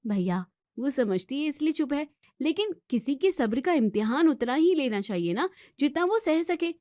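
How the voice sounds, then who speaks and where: Woman acting as outspoken young daughter in a hindi family drama, highish voice, close dry sound, argues back hard. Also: very animated, moderate pace, a little mellow, no echo. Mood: firm, warning. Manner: scolding, argumentative, emphatic rhetorical questions. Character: outspoken young student daughter defending her sister-in-law.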